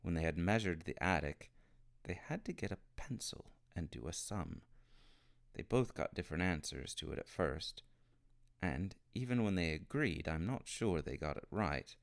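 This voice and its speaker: Man, low gravelly voice, very lively intonation, children's audiobook narration, dry close sound. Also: normal speed. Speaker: storybook reader. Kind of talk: expressive narration of a children's novel.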